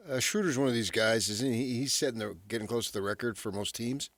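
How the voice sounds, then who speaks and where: A man co-hosting a sports talk show in casual banter, blunt and quick to disagree. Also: medium pitch, a bit bright, no room sound. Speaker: sports radio co-host.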